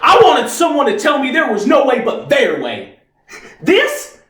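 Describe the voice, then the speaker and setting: A man's voice: high voice, sing-song, normal speed. A man playing a defiant, unstable, bitter young army private from Texas, cocky and agitated, in a stage monologue. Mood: anguished, angry. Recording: echoey room, distant microphone.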